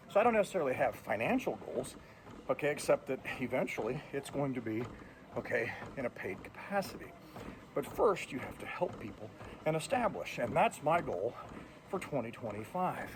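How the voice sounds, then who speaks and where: A man's voice: on the high side, slight background noise. Blunt plainspoken man in his fifties. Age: 50s. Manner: blunt, plainspoken, addresses viewers directly. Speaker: truck driver and vlogger. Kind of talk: casual monologue on a treadmill. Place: indoors on a treadmill.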